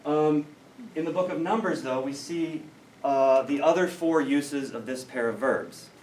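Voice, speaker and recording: A man's voice: fairly high pitch, unhurried, a little mellow. American man lecturing in an echoey room. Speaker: academic lecturer in biblical studies. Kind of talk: scholarly lecture. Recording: roomy, distant mic with faint noise.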